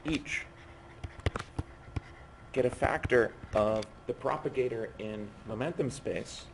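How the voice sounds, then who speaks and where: A man giving a physics lecture while losing his voice, speaking haltingly and often breaking off mid-phrase. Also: mid-range voice, vocal fry. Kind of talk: university lecture at a board. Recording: noisy classroom recording.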